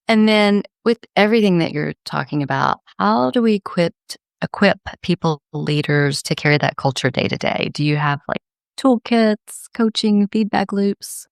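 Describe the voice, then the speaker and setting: A woman's voice: mid-range voice, animated, measured pace, gravelly, clear, resonant tone, no echo, clean studio sound. A woman hosting a podcast, asking curious, casual questions with self-deprecating asides. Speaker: podcast host. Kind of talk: interview questions.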